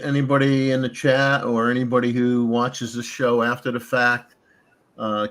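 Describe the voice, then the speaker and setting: A man hosting a casual livestream. His delivery is flat and even, with little rise or fall, and he stays plain and businesslike. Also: medium pitch.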